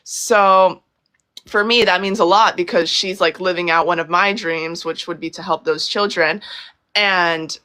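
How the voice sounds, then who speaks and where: A woman's voice: on the low side, steady tone, unhurried, bright, forceful tone. A young woman from Brazil, a guest in a casual interview, talking effusively with many fillers and restarts.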